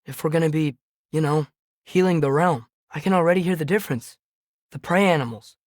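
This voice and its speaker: A man's voice: on the high side, brisk, dry recording, close to an everyday voice. Young guy voicing teen boy game companion, american, light youthful voice, curious and earnest, kinda casual, dry studio sound. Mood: thoughtful, curious. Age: teenager. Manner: casual, conversational, observant. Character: earnest, curious, alert adolescent boy adventurer. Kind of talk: video game companion dialogue.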